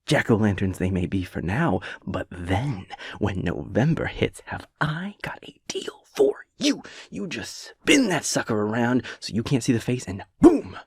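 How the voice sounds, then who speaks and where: A man playing a chaotic, playful gremlin boyfriend in an intimate comedic audio roleplay, theatrical and rambling, with a husky, breathy, creaky voice. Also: medium pitch, very animated, a little slow, few pauses, darkish tone, dry recording, clean studio sound, heavily acted. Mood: eager planning. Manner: theatrical, rambling, mock-grandiose wordplay.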